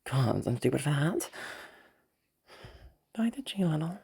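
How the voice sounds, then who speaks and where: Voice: mid-range voice, very animated, moderate pace, creaky, mellow, husky, heavily acted. A performer voicing a proud, frustrated young woman inventor out hunting, muttering to herself with sighs and swearing in a dramatic audio roleplay. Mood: indignant. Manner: muttering to self, sighing, sweary self-argument.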